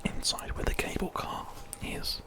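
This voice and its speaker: A British man whispering softly and breathily in an unhurried, rambling ASMR game commentary. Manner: soft, gentle, unhurried rambling. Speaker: ASMR video host.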